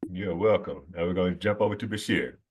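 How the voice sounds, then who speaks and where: A man's voice: sits low, quick pace, slight background noise. A man hosting a casual student conversation, friendly, with frequent fillers and laughter. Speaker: host.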